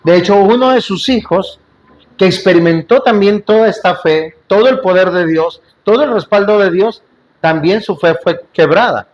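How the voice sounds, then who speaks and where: High-voiced man preaching emphatically. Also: moderate pace, muffled recording. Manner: emphatic, repetitive rhetorical preaching with questions to listeners; jokes and asides in between. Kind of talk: sermon to a congregation. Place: church.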